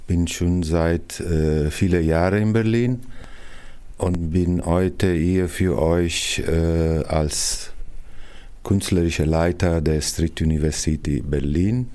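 Italian-accented middle-aged man, very low voice, mellow tone, hesitant, searches for words. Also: fairly even intonation, slow pace, smooth. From Italy, Naples. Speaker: artistic director, multimedia artist. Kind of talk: interview answer addressed to young listeners.

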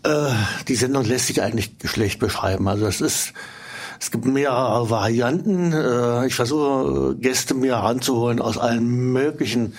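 A man speaking as a guest in a radio studio interview, hesitant and filling pauses with "uh". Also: medium pitch. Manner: hesitant, with frequent fillers.

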